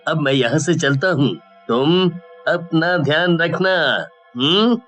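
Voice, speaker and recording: A man playing a kindly middle-aged policeman father in a story, gently and deliberately reassuring his daughter. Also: fairly high pitch, animated, faint music behind. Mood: gentle reassuring. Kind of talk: Hindi animated story dialogue.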